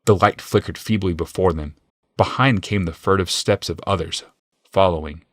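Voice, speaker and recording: A man's voice: deep voice, moderate pace, no room sound, studio quality. A man reading scripted, dramatic fantasy narration as an audiobook narrator.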